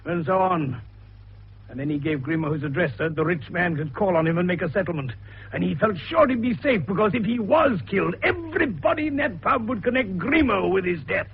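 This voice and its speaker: An actor playing an older, eccentric male doctor sleuth in a radio detective drama, with a gravelly voice and an avuncular, theatrical way of explaining. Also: high-pitched, expressive, brisk, slight background noise. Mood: emphatic, revelatory. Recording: dull, muffled radio recording.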